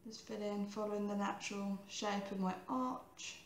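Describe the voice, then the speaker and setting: A woman in her thirties with a croaky voice, giving a chatty, rambling makeup tutorial and often correcting herself. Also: mid-range voice, steady tone, normal speed, reverberant, slight background noise. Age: 30s.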